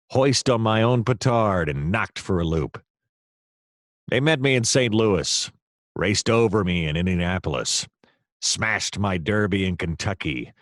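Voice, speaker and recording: Man acting as noir private eye, deep voice, self-pitying, theatrical, dry close recording, radio play. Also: dry recording. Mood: defeated, theatrical. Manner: theatrical, wry, overwrought.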